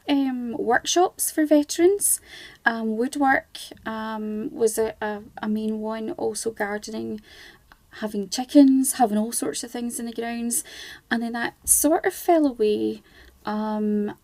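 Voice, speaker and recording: A woman's voice: on the high side, a little mellow, dry recording. A Scottish woman in her forties, a charity volunteer chatting as a guest on a radio interview, with many ums and restarts. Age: 40s.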